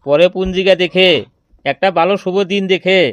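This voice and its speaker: A man's voice: high voice, fairly even intonation, brisk, smooth, no room sound, dull audio, close to an everyday voice. Stern hot-tempered cartoon schoolteacher, played by a man. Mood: grudging. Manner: scolding, exasperated. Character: stern, hot-tempered male village schoolteacher, threatening pupils. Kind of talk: comedy cartoon dialogue.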